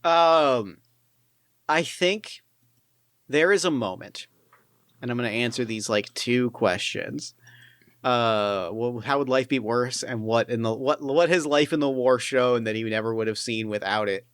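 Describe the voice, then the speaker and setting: A man running a solo tabletop game, narrating his pilot's story in a reflective, halting way. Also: mid-range voice, a bit bright, clear, resonant tone, dry recording, studio quality. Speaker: game master.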